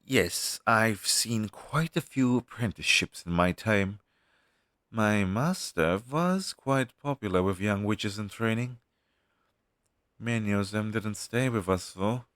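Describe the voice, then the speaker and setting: Male actor as crow demon, ex-familiar: lowish, unhurried, conversational, friendly but world-weary, for a listener-addressed audio roleplay. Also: fairly bright tone, no room sound, clean studio sound. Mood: reminiscing. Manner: conversational, hesitant, with sighs and pauses. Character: down-on-his-luck male crow demon, former witch's familiar, wistful and friendly.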